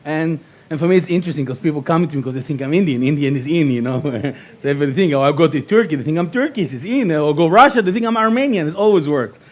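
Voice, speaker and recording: Middle-aged Israeli-born speaker, high voice, punchy and repetitive, workshop presenter. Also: male voice, quick pace, dull audio. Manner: animated, emphatic, repeats key phrases. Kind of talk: motivational workshop talk.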